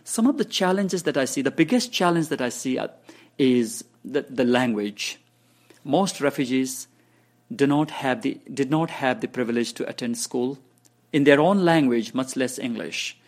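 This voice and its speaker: A man from Bhutan whose first language is Nepali, giving measured interview answers about his refugee resettlement work. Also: medium pitch, lively intonation. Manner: measured, explanatory, counts points off in order.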